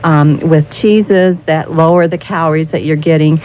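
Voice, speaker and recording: A woman's voice: low-pitched, steady tone, normal speed. A woman giving practical, instructive explanations as the guest spokesperson in an informal radio interview. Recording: dull, noisy old radio recording.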